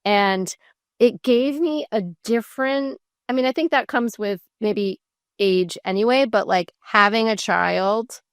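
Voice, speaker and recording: A woman's voice: mid-range voice, creaky, clear, resonant tone, no room sound, studio quality. A woman in her forties, a podcast guest, talking openly and reflectively about her work and self-worth, with frequent restarts. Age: 40s. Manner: reflective, open, many restarts and fillers. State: tearful at moments. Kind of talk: candid conversational interview.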